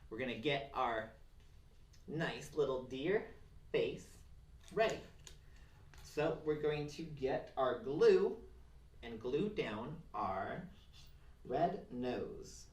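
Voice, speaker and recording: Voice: mid-range voice, lively intonation, extremely slow, long pauses, darkish tone. A craft presenter giving simple, encouraging step-by-step instructions to children. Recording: roomy, distant mic, faint noise and clicks.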